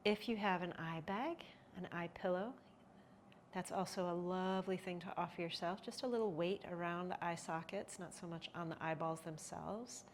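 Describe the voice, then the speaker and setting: A woman guiding a yoga nidra relaxation in a slow, soothing voice with soft pauses, sometimes dropping to a breathy hush. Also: fairly low pitch, a little hiss or hum. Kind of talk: guided relaxation.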